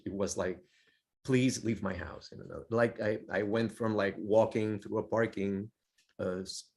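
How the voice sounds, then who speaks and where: A middle-aged man, sharing personal testimony on a panel in a halting, reflective way. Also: lowish voice. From Puerto Rico. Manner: halting, reflective, repeats words.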